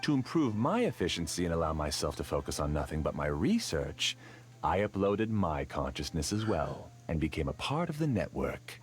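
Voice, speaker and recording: An actor playing a calm, obsessive male scholar villain in a video game, with a smooth, measured delivery. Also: extremely low pitch, faint music behind. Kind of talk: game voice acting.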